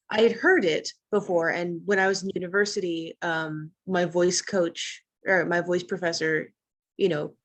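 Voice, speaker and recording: A woman who acts on stage, talking casually and reflectively in a panel conversation, sharing her experiences. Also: on the low side.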